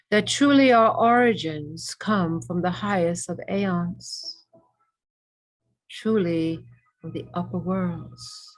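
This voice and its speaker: Slow, low-pitched woman guiding meditation. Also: rough recording. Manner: reverent, measured delivery in short phrases with long pauses. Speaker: meditation guide. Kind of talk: guided meditation with ASMR elements.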